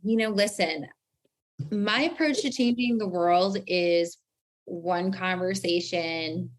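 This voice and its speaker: A woman's voice: lowish voice, slow pace, poor audio. Emphatic American woman coaching in an interview. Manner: emphatic, asks rhetorical questions. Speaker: guest, personal branding coach.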